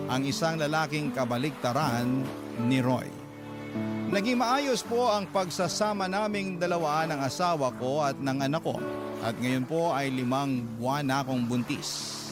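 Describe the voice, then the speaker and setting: A grateful young wife and mother tells her story in a radio drama, as read by a man. The voice sits fairly high and stays earnest and polite throughout. Loud music plays behind the whole reading. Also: close to an everyday voice. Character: grateful young married mother, woman, letter writer. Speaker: letter reader. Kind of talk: radio drama letter narration. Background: loud background music.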